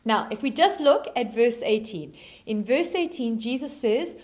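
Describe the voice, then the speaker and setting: South african lady preaching, slightly high voice, lots of ums as she tells a story. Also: animated, a little slow. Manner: warm storytelling with frequent ums. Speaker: pastor. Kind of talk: sermon.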